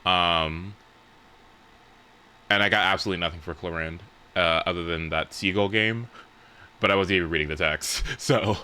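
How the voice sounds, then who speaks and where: A young man with a low voice and a bright, forward tone chats while live streaming a game. He talks in a loose, rambling, informal way with casual swearing, over faint background noise. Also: moderate pace, pauses often. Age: young adult. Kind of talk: casual livestream chat while playing a game.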